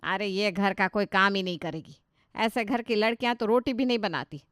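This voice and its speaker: A woman's voice: mid-range voice, normal speed, fairly bright tone, no room sound, studio quality, natural voice. A woman voicing a bossy middle-aged Indian mother in a story, firm, scolding and emphatic. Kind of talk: animated storybook dialogue.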